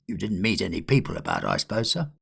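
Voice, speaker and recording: A man's voice: low-pitched, huge pitch swings, fast talker, vocal fry, slightly rough, heavily acted. A man voicing a watchful, deferential English hotel servant with colloquial speech in a ghost story. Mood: wary curiosity.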